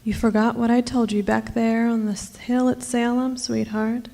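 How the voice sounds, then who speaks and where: A woman acting in a radio play as a dying, wicked witch wife, creaky and taunting, with drawn-out endearments. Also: fairly high pitch, flat delivery, mellow. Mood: mocking, dying.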